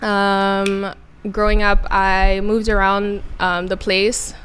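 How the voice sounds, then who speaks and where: A woman in her twenties from Ghana, a guest chatting in an interview with many pauses and restarts. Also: medium pitch, level tone, fairly bright tone, a little hiss or hum. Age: 20s. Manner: hesitant, many ums and restarts. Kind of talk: casual interview chat.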